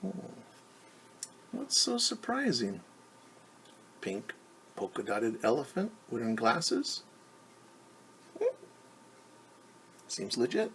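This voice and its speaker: A man reading a picture book aloud as a teacher, in a story time style, pausing to explain and wonder aloud for young listeners. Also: mid-range voice, wildly swooping pitch, a bit bright, slight background noise, rough recording. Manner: stumbles over and corrects words when reading Spanish. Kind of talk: bilingual story time with commentary.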